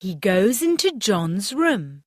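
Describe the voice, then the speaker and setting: A woman narrating a scripted children's story, in simple, deliberate phrases for young learners. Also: mid-range voice, sing-song, a little hiss or hum.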